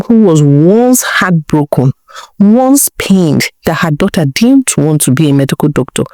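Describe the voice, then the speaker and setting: A woman with a Nigerian accent narrating a folktale in a deliberate way, repeating phrases for emphasis. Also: low-pitched, a little mellow, no room sound. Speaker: storyteller, narrator.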